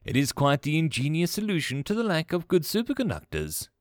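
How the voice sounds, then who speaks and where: Man playing dry, practical alien first officer, lively pitch. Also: brisk, no echo. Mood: dryly pointed. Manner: polite, dryly pointed, reasoning. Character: deferential but pointed, practical alien first officer, male. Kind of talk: sci-fi audio story character.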